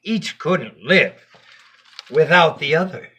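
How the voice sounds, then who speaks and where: Male storyteller, bright forceful tone, husky edge, expressive bedtime narration, deliberate phrasing. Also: on the high side.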